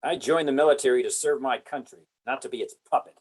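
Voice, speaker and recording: A man's voice: mid-range voice, quick pace, darkish tone, slightly rough, close to an everyday voice. A man playing a principled, blunt military colonel, profane and indignant, in a script table read. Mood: angry.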